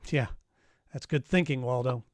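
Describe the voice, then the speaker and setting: A man's voice: mid-range voice, normal speed, dry recording, close to an everyday voice. A man playing a wry, hard-boiled private detective in 1940s radio style, dry and wisecracking. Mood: wry, reflective. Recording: live-streamed radio play with music underneath.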